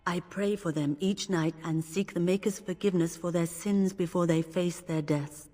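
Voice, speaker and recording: A woman's voice: fairly low pitch, fairly even intonation, moderate pace, dark tone, natural voice. An actress playing a grave, principled revered mother in a fantasy video game, speaking formally and piously. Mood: solemn. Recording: long reverberant hall echo.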